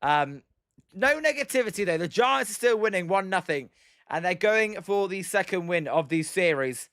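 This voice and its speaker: A young British man with a fairly high voice gives loose play-by-play while reading out chat. His voice is slightly croaky, and he fills gaps with "um" and "as". The sound is close and dry, with no room around it. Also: animated, normal speed, a bit bright, no echo. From Britain. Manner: rambling, many "um"s and "as" fillers. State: slightly croaky from daily streaming. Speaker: live-stream baseball commentator. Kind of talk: casual play-by-play with chat replies.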